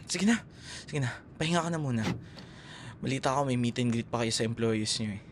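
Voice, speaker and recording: A man's voice: mid-range voice, moderate pace, a bit bright, close to an everyday voice. Man acting as young Filipino ex-boyfriend, teasing, casual intimate roleplay, audible car noise.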